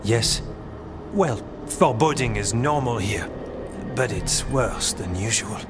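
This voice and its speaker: Concerned male warden, serious, deliberate, fantasy game, reverberant, clear background music. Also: medium pitch, animated. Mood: solemn, concerned.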